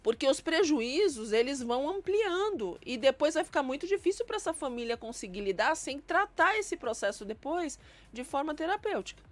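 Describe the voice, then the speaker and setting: A woman's voice: high voice, big pitch swings, normal speed. A woman, a guest psychologist, explaining earnestly and stressing her points with emphatic lists. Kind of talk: explanatory panel talk.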